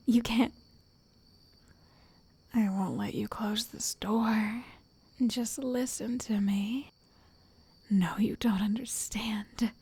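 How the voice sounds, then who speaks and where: A woman voicing an obsessive, lovestruck witch in an ASMR roleplay, soft and whispery, coaxing with possessive endearments. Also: fairly high pitch, husky, no echo, exaggerated voice. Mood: insistent.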